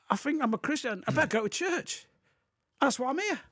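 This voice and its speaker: A man voicing a chatty, blunt working-class bloke from Surrey, in a gruff drawl and a higher voice than his own. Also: animated, moderate pace, pushed and bright, dry recording, clean studio sound, exaggerated voice. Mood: wondering. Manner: blunt, matter-of-fact, gruff Cockney-style drawl.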